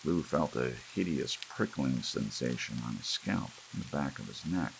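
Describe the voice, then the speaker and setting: A man reading a story aloud as an audiobook narrator, in a relaxed bedtime style. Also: extremely low pitch, normal speed, vocal fry, rough recording. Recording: faint hiss and rain sound. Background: soft rain.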